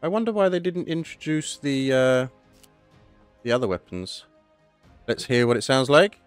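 A man with a hoarse voice, casually talking through a game update and thinking aloud as he plays. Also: mid-range voice, normal speed, faint music behind. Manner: chatty, thinking aloud, self-correcting. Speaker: gaming channel host. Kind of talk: casual gameplay commentary.

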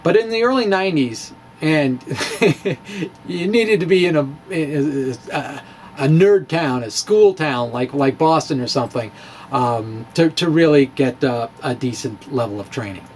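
A middle-aged man with a fairly high voice speaks slowly and haltingly, in a wry, conversational vlog style. He is close to a microphone in a small room, with some faint noise behind him. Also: animated, darkish tone. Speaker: amateur physicist and video blogger. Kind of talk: dramatic reading of a personal blog, loosely conversational. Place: small indoor room with bookshelves. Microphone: handheld-style dynamic mic on a stand, close up.

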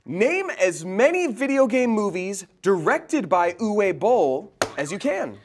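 A young man hosting a casual, jokey game show in a studio, playfully dramatic with deadpan asides. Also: high-pitched, takes it slowly, a little hiss or hum. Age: young adult. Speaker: quiz show host. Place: indoor studio set.

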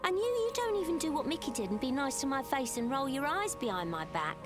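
A middle-aged mother in an audio drama, resentful and wistful. Her voice is on the high side and plain-spoken, with clear music playing beneath it. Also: sing-song, a little fast. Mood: bitter, hurt. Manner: plain-spoken, emotional monologue. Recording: music under the voice.

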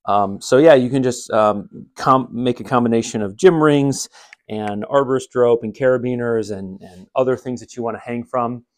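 A middle-aged man giving a relaxed, rambling walkthrough of his home garage setup, filmed on a handheld phone, with many fillers. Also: mid-range voice, normal speed. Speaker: home gym vlogger. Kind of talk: casual how-to walkthrough. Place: home garage, then attic. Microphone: handheld phone camera.

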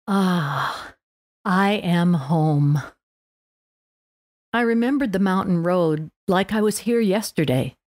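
A woman's voice: on the low side, lively intonation, takes it slowly, pauses often, darkish tone, dry recording. A woman podcast host reading a scripted narration aloud for language learners.

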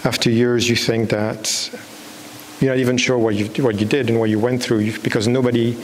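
A man in his thirties speaks as a filmmaker at a public talk, feeling his way through sentences and often restarting them. His pitch sits in the middle of the male range. The hall rings with long reverb, and a clear hiss runs underneath. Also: flat delivery. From Belgium. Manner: halting, searching for words, with repeated false starts. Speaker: documentary filmmaker. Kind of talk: unscripted answer at a public talk. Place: on stage in a large dark hall with an audience.

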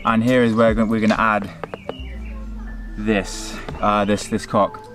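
A man's voice: on the low side, little pitch movement, slow pace, fairly bright tone, soft background music. A young man hosting a fishing vlog, talking viewers through his steps in a casual, chatty way. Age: young adult. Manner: chatty, addresses viewers as folks and guys. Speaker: fishing channel host. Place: outdoors by a river. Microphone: handheld camera at arm's length.

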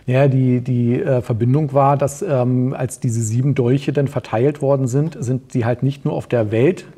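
A middle-aged man explaining things in casual conversation, somewhat haltingly. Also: mid-range voice, fairly even intonation, dark tone, breathy edge. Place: indoor studio set at a table.

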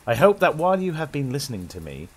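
A man's voice: on the high side, huge pitch swings, quick pace. A young British man hosting and narrating a horror tale with dramatic, suspenseful, old-radio theatrical delivery. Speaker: horror story host and narrator.

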